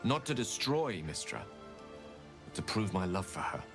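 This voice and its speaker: A bookish fantasy game wizard, lowish voice, eloquent and kinda remorseful, music playing loud behind. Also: male voice, big pitch swings. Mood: rueful. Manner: eloquent, theatrical, measured storytelling. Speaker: game character. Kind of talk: dramatic video game dialogue.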